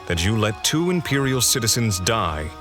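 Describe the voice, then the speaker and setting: An actor playing a composed, principled elf patrol leader in a fantasy audio drama, speaking formally and with command. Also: male voice, on the low side, big pitch swings, normal speed, fairly bright tone. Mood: firm, polite. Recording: music behind the voice.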